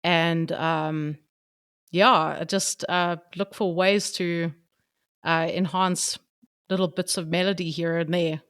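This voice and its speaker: A woman's voice: fairly low pitch, steady tone, slow pace, a bit bright, smooth. A woman answering interview questions about her music, hesitant with many fillers. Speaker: band keyboardist.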